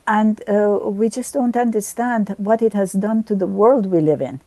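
An older woman from Iran giving reflective interview answers in a halting, deliberate way. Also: medium pitch, mellow, no echo. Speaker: interview guest, former government minister.

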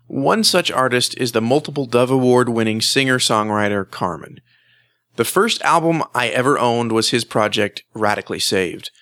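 A man's voice: mid-range voice, lively intonation, normal speed, fairly bright tone, dry recording. A man hosting a music magazine show, speaking as presenter and interviewer. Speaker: host and interviewer.

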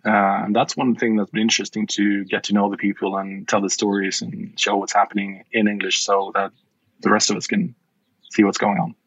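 A middle-aged man from Sweden with a Swedish accent, answering interview questions with many pauses and restarts. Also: lowish voice, flat delivery, a bit bright, slightly rough, no room sound, muffled recording. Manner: hesitant, many fillers and restarts. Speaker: wine guide founder. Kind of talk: podcast interview.

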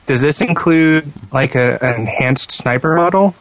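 A man's voice: a little high, a little slow, a bit bright, no room sound, rough recording. A man co-hosting a casual gaming podcast, chatting in a wry, joking way. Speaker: podcast co-host. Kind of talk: casual panel chat about gaming.

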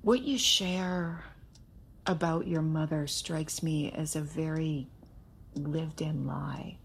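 An actress playing a seasoned, unyielding female therapist in an audio drama, husky and gravelly, with measured, pointed questions. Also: low-pitched, steady tone, slight background noise. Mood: probing, firm.

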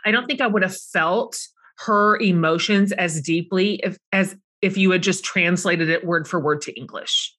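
A woman's voice: lowish voice, moderate pace, fairly bright tone. A middle-aged woman hosting a book club interview, asking long, enthusiastic questions that linger over her words. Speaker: podcast host.

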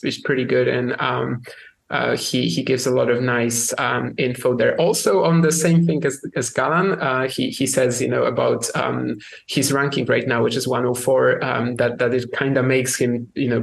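A man's voice: mid-range voice, gravelly. A man co-hosting a sports podcast, talking casually with many restarts and fillers. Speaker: podcast co-host.

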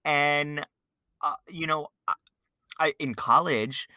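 A young man hosts a podcast interview, thinking aloud in a reflective, hesitant way full of fillers. His voice is very bright and sharp. It sounds close and completely dry. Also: on the high side, slow pace, no echo. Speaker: podcast host. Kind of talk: casual interview chat.

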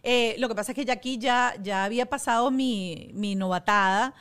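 A middle-aged woman with a Venezuelan accent, a TV presenter and actress telling stories in an animated, self-mocking way as a guest on a chat show. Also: a little high, normal speed, smooth. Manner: animated, self-mocking storyteller who acts out quotes. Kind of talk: casual chat, storytelling.

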